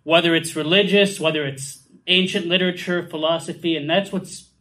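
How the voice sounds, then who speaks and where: A man with a naturally loud voice, a featured poet speaking earnestly and reflectively to an audience about writing. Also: a little high, fairly even intonation, moderate pace.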